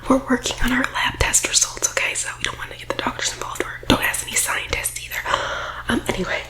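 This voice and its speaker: A high, whispering boss-babe recruiter, played by a woman delivers a comedic ASMR sales pitch. Her delivery stays even and narrow in pitch, with a faux-professional, reassuring polish. She is pushy yet evasive, nudging her listeners to agree. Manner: faux-professional, reassuring, tagging points with "okay?". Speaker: ASMR roleplay performer.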